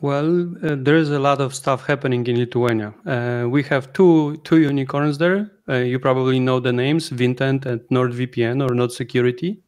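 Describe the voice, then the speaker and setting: Male co-host, mid pitch, clear resonant voice, hesitant questioner. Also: steady tone, normal speed, hardly any pauses, a little mellow. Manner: hesitant, repeating words. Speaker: podcast co-host. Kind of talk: asking interview questions.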